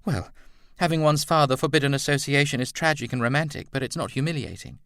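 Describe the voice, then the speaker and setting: A man's voice: fairly high pitch, quick pace, fairly bright tone, clean tone, dry recording, clean studio sound, pitched above the performer's own voice. A man voicing a flirtatious, self-assured woman, an artist's model with a playful, poised manner, in an audiobook novel. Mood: reassuring.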